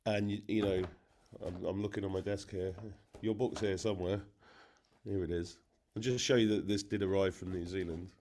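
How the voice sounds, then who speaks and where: A man's voice: deep voice, fairly even intonation, normal speed, darkish tone, slight background noise. Earnest, emphatic man on a call. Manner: earnest, emphatic, self-deprecating. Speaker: interview guest and fellow podcaster. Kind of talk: emotional conversation.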